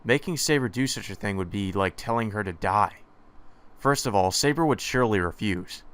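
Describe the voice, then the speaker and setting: A man's voice: lowish voice, animated, moderate pace, fairly bright tone. A man reading a visual novel aloud in first person as the hero, with sarcastic asides of his own. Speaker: let's play narrator.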